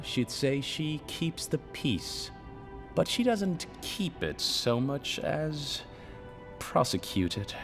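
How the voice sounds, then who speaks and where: An actor playing a courteous, scholarly aide in a video game, speaking in a formal, precise and deliberate manner. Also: male voice, mid-range voice. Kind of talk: game dialogue, measured exposition.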